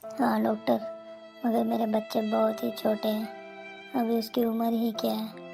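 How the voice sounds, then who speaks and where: A man's voice: high voice, completely flat delivery, unhurried, creaky. An actor playing a frightened husband, anxiously begging the doctor for news about his wife. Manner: anxious, pleading. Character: anxious husband of a dying woman. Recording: faint music behind.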